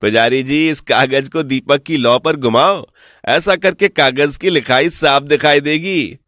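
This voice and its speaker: A man voicing a sly, smooth-talking village swindler in an animated folk tale, persuasive, boastful and falsely respectful. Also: fairly high pitch, measured pace, no echo, clean studio sound.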